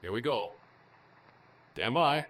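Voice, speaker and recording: Terse inventor, lively inflection. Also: male voice, medium pitch, slow. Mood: confident, terse. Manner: terse, matter-of-fact. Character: terse, confident mad-scientist inventor at work. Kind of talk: 1940s radio acting. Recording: vintage 1940s radio drama recording.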